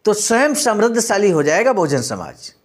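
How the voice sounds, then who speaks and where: Earnest, high-pitched man addressing listeners. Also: very animated, moderate pace. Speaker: speaker reading and commenting on a historical speech. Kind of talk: read-aloud speech with earnest explanatory commentary.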